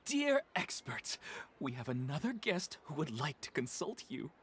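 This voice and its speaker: A woman voicing a solemn, mysterious host in a video game, slow, hushed and formal. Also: low voice, big pitch swings, very noisy. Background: game music.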